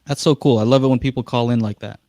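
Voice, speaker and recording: A man's voice: mid-range voice, fairly even intonation, fast, warm tone, slight background noise. A man hosting a live call-in podcast, chatting casually and laughing easily with callers. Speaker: podcast host.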